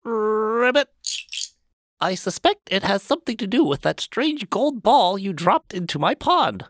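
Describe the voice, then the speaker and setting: An actor voicing a smug, educated talking frog in an audio storybook drama, punctuating speech with croaked ribbits. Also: mid-range voice, very animated, a little slow, fairly bright tone, no room sound, studio quality. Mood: matter-of-fact, smug. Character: talking frog, well-schooled, matter-of-fact, slightly smug male.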